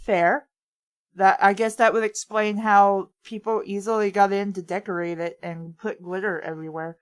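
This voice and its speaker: A woman's voice: mid-range voice, level tone, normal speed, clean tone. A woman in casual, incredulous banter while reading a story aloud. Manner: incredulous, swearing, breaks into laughs. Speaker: host of a comedy reading show. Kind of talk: casual chat and read-aloud commentary.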